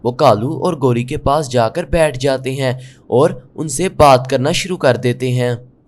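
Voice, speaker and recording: A man's voice: medium pitch, measured pace. A man narrating a scripted children's animal story.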